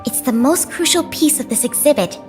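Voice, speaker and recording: Polite young museum guide girl from a game, really high pitched, careful and bookish, music under it. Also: expressive, fairly quick. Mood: earnest, concerned. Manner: courteous, bookish, careful explaining. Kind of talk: video game voice acting. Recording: music behind the voice.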